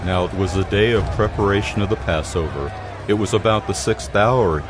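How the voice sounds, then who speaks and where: A man's voice: lowish voice, moderate pace, over music, crowd noise. A man narrating scripture from a script in a dramatized audio production.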